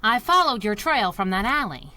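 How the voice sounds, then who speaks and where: An actress playing a tough, wisecracking young masked sidekick in a 1930s-style radio adventure, brash and sardonic. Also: female voice, on the high side, sing-song, moderate pace, sharp tone. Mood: brisk, hard-boiled.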